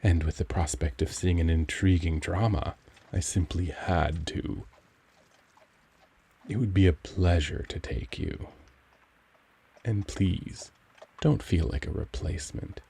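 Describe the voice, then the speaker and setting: A man playing a young, charming, cultured vampire gentleman in an ASMR audio drama, speaking in a gentle, caring, genteel and formal manner. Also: sits low, takes it slowly, creaky, long pauses, husky, full character voice. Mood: charming, inviting. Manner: genteel, formal, soft-spoken, courtly phrasing.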